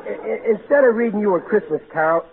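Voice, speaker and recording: A man's voice: high voice, expressive, fast, creaky, slightly rough, full character voice. An actor playing a big-hearted, blustery middle-aged everyman in old-time radio style. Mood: earnest, flustered. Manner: folksy, ungrammatical, earnest, blustery. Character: big-hearted, folksy, exasperated working-class everyman. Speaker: actor in a radio comedy-drama. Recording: narrow tinny vintage radio broadcast, hissy.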